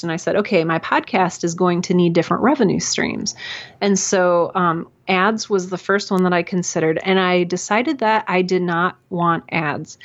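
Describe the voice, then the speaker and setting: A woman's voice: on the low side, muffled recording. A woman podcaster chatting in an interview, talking freely with lots of fillers and restarts. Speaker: podcaster and audio drama producer. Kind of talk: casual interview conversation.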